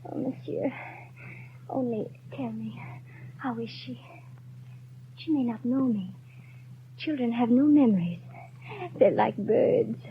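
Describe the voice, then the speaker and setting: A feverish young mother, very high-voiced and pleading for her child. She speaks in old radio drama style, on a muffled, hissy recording. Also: slow, long pauses. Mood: weak pleading. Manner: pleading, breathy whispers rising to frantic cries. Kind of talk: 1930s radio drama acting.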